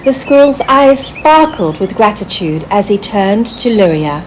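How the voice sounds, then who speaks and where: Slow, expressive woman storyteller over background noise. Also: mid-range voice, rough recording. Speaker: author reading own story. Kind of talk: scripted children's storybook narration.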